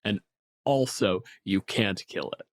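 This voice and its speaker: A man co-hosting a casual tabletop game discussion, explaining things in an animated way and swearing freely. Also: fairly low pitch, slow pace. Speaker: podcast co-host.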